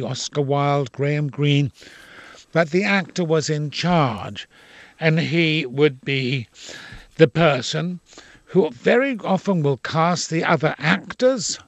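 A man's voice: fairly high pitch, vocal fry, no room sound. Older male actor, Dublin accent, frank talker, bone-dry studio sound. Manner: expansive, frank, opinionated. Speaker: actor and playwright. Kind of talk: interview.

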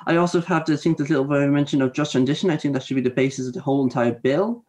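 Fast-talking young activist man in his twenties. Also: on the high side, a little mellow. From Ireland. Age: 20s. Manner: fast, earnest, with many restarts and hedges. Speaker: youth climate activist. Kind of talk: panel discussion.

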